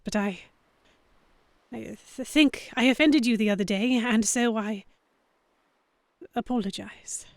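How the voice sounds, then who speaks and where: A woman playing an earnest, anxious young heroine, narrating a dramatic story in the first person with measured expression. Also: fairly high pitch, dry recording. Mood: awkward, apologetic. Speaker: narrator and lead character.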